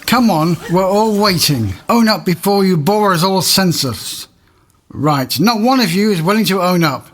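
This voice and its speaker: A man's voice: high-pitched, expressive, moderate pace, fairly bright tone. A man playing a pompous, exasperated old-time manor court judge, declaiming puns in a comic audio drama. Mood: stern, exasperated.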